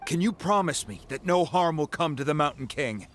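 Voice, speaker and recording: Earnest, high-pitched worried villager. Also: male voice, animated, normal speed, fairly bright tone, a little hiss or hum. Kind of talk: dramatic video game voice acting.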